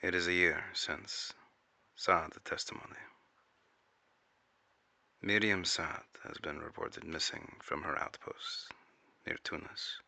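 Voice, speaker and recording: A man's voice: low voice, steady tone, takes it slowly, vocal fry, pushed and bright, no room sound, exaggerated voice. A man playing a serious, controlled agency interrogator taking and recording testimony in a clipped, official way in an audio drama. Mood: formal.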